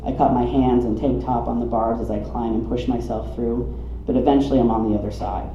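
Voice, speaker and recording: A man's voice: medium pitch, steady tone, a little fast. A man from the southeastern United States reads his own humorous memoir to a live room, with a dramatic, wry comic delivery. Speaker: storyteller reading own memoir. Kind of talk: live reading of a humorous personal narrative. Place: coffee shop reading event. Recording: muffled roomy live recording, some noise.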